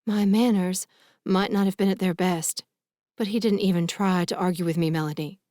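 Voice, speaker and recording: A woman's voice: medium pitch, fairly even intonation, moderate pace, dark tone, dry recording, studio quality, close to an everyday voice. A woman voicing a worried, protective middle-aged mother, stern and fussing, in an audiobook. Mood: indignant, wounded. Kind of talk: audiobook character dialogue.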